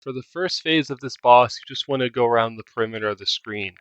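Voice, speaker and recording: A man's voice: mid-range voice, fast talker, a bit bright, smooth, no echo. A man giving casual game guide commentary as a walkthrough narrator, in a lo-fi recording.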